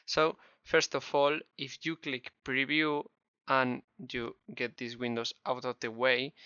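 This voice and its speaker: A man's voice: medium pitch, little pitch movement, measured pace, bright, forceful tone, smooth, dry recording. A man with a Spanish accent narrating a step-by-step game development tutorial in a friendly, encouraging way. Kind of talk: screen-recorded tutorial narration. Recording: dull, slightly lo-fi close mic.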